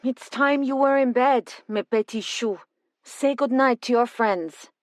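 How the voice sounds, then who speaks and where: Brief: woman voicing a brisk, kindly young nanny with a French accent, a little raised in pitch. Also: dry recording, clean studio sound, lightly acted. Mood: firm.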